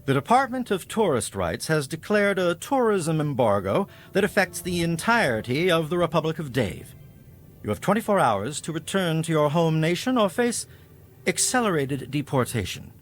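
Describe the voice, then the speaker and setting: A pompous, animated little tyrant president. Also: male voice, on the high side, normal speed, faint music behind. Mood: pompous, officious. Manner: pompous, officious, threatening. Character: pompous self-declared president of a tiny settlement, adult man. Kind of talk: video game character acting.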